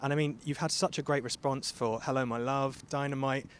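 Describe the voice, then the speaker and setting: A male radio interviewer asks questions at a fast pace. His voice sits at a middle pitch. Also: fairly even intonation, a little hiss or hum. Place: stadium.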